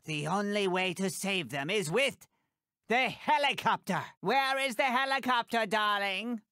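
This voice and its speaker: A tiny bossy older woman designer, snobbish, theatrical, swooping lively pitch, bright sharp voice, dry close sound. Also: measured pace, dry recording. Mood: imperious. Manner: clipped, theatrical, bossy, calls everyone darling. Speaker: mission giver. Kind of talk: video game voice lines.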